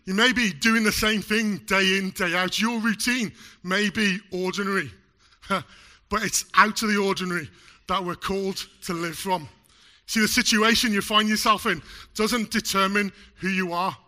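A man's voice: high voice, steady tone, moderate pace, sharp tone. A man preaching a sermon in a church, chatty and jokey, still getting over a chest cold. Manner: chatty, jokey, loose with fillers. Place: church hall.

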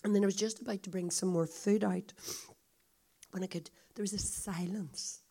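A woman with a croaky, hoarse voice, a visiting speaker telling a story to a congregation in a chatty, confiding way. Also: medium pitch, fairly even intonation, moderate pace, warm tone. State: hoarse, coughing.

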